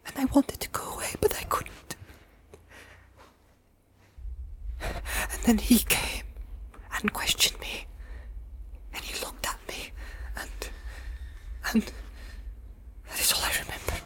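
A man voicing a small, frightened young hobbit in a fantasy storybook, halting and stammering as if badly shaken. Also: very high voice, lively intonation, bright, forceful tone, whispered, pitched above the performer's own voice, heavily acted. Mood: shaken, pleading. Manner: stammering, halting, breathy and trembling.

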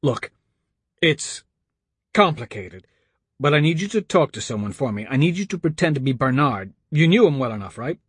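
A man voicing an anxious young man in charge, pleading and pressing out hurried instructions as in an audiobook. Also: medium pitch, big pitch swings, husky, no echo. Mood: urgent, pleading. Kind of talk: audiobook character dialogue.

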